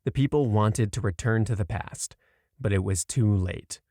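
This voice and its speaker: A man narrating a short story aloud in a measured, clearly read storytelling delivery. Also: fairly low pitch, dark tone, dry recording, clean studio sound.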